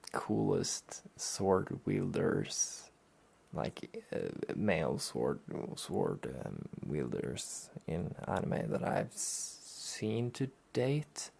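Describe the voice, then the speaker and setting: Soft-spoken, gravelly man talking slowly. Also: very animated. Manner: hesitant, rambling, low-key. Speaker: host of a weekly anime talk show. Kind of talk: soft-spoken relaxation talk, casual review.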